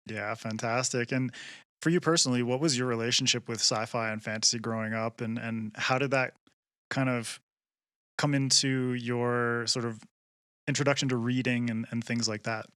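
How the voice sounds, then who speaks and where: A man's voice: medium pitch, fairly even intonation, moderate pace, a bit bright, smooth, no echo, clean studio sound. A man co-hosting a podcast, reading the show's plugs and then interviewing a guest with friendly enthusiasm. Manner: friendly, enthusiastic, some stammering restarts. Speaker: podcast co-host. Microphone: video call.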